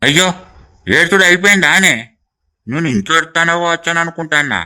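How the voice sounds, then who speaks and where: A man acting a sharp-voiced, teasing village brother-in-law. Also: fairly high pitch, lively intonation, a little fast, clean tone. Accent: Telangana. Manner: bantering, complaining. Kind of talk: rural family drama acting.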